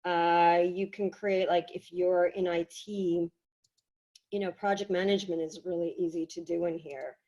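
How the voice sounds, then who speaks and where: A woman giving a casual software tutorial, explaining in a halting, conversational way, slightly hoarse from a cold. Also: on the low side, steady tone, lo-fi sound. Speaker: workshop presenter. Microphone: video call.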